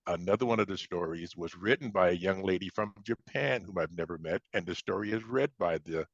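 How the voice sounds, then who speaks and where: Very deep older American man, roomy sound. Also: brisk, dull audio. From Chicago, United States. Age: 70s. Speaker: interview guest, author. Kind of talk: casual conversational interview.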